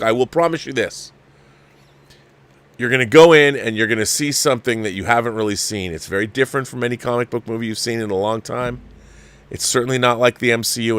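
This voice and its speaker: A man's voice: mid-range voice, fairly bright tone, no room sound. A man hosting a film review livestream, chatting off the cuff to viewers in a rambling, emphatic way and often repeating his phrases. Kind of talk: casual off-the-cuff movie review chat to viewers.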